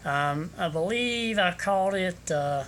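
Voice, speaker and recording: Bright-voiced rambling man. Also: sits high, expressive, a little slow, slight background noise. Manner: rambling, hesitant, full of ums and restarts. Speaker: local photographer selling prints. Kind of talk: casual sales pitch to camera. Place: indoor room with an overhead fan. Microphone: phone camera.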